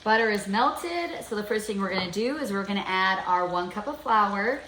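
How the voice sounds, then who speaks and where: A woman's voice: mid-range voice, animated, moderate pace, fairly bright tone, echoey room, slight background noise, poor audio. A woman in her fifties giving a chatty, step-by-step home cooking demonstration in her kitchen. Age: 50s.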